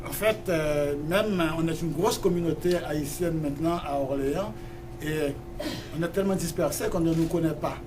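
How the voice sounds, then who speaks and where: A man from Haiti, a festival organizer, giving a hesitant welcome speech full of fillers at a launch evening. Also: fairly high pitch. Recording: distant mic with background noise.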